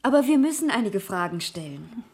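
An actress playing a cultured, plucky private detective, polite but sly, in a radio crime drama. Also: female voice, medium pitch, very animated, a little mellow, breathy edge. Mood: probing, polite. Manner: polite, sly, quick to retort. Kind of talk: 1980s German radio crime drama acting.

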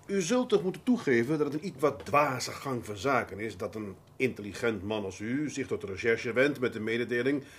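An actor playing a seasoned, middle-aged police detective in a radio drama, measured and formally polite with a dry, ironic edge and a husky voice. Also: male voice, mid-range voice, lively intonation, slight background noise, close to an everyday voice. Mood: courteous, formal. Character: seasoned senior police detective, patient, wry, probing. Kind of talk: Dutch radio drama acting.

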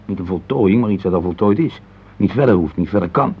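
Middle-aged husband, low voice, gently philosophical, halting, intimate radio drama, narrow muffled old recording. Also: male voice, animated, slightly rough, heavily acted. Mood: earnest, pondering. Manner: hesitant, pondering, searching for words. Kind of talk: intimate radio play dialogue.

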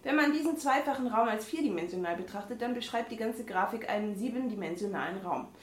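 Woman, somewhat high voice, regional German dialect, reads text aloud haltingly, roomy echo with faint hiss. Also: expressive. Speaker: podcast co-host reading from a book. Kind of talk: casual chat, reading aloud. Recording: echoey room with faint noise.

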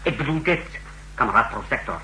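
A man's voice: mid-range voice, lively intonation, fairly quick, sharp tone, slight background noise, rough recording. An actor playing a secret police chief in a 1960s radio drama, formal, hesitant and deferential to his leader. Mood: careful, deferential.

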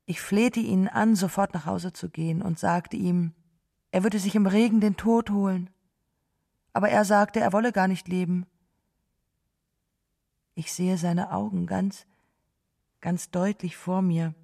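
An actress playing a gentle, grieving wife who remembers a lost love in a halting, subdued way in a radio drama. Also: female voice, mid-range voice, steady tone, creaky. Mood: pained remembering. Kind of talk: literary radio drama monologue.

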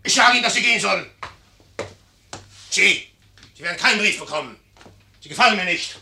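An actor playing a young, proud, hot-tempered general in a theatrical 1950s German radio play, sardonic and imperious in manner. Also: male voice, high-pitched, lively intonation, brisk, gravelly, pushed and bright, slight background noise, heavily acted. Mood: furious.

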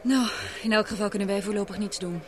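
A woman playing a lively, carefree young woman in a radio drama, chatty. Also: mid-range voice, fast, lightly acted. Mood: lively, coaxing. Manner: animated, chatty. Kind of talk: Dutch radio drama acting.

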